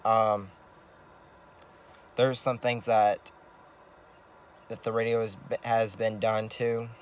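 Man, slightly low pitch, slow pace, casual vlog demonstration, halting, repetitive, faint vehicle noise. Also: flat delivery. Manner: halting, rambling, repeats himself. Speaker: vlogger showing CB radio gear.

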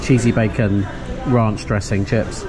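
A middle-aged British man with a lowish voice talks through his meal and shopping in a dry, self-mocking vlog manner. He is filmed on a handheld camera while a steady music bed plays under him. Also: a little slow, over a noisy crowd, low-quality recording. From United Kingdom. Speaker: vlogger. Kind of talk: casual vlog narration. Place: restaurant, car and store.

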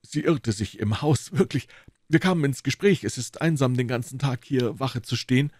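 A man's voice: mid-range voice, normal speed, a little mellow, dry recording, voice pushed up, natural voice. A stout, red-faced constable, as a male reader puts him on speaks in a raised, anxious voice. He pleads and explains himself over and over, eager to be believed. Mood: pleading. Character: stout, flustered, apologetic male police constable. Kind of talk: audiobook character voice.

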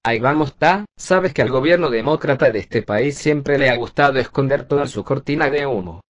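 A man's voice: medium pitch, normal speed, no echo, muffled recording, lightly acted. A man playing an argumentative, earnest young son who works in a shop, in an amateur drama dub. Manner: questioning, earnest. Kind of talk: amateur Spanish dub acting.

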